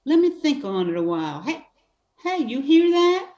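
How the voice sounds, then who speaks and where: A roomy, slightly high voice. An older woman plays a plucky, affectionate bayou mother in a radio drama, homespun in her talk and tough when she needs to be. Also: sing-song, moderate pace, dark tone, a little hiss or hum. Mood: tender, thoughtful. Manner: folksy, homespun dialect. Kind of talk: radio play acting.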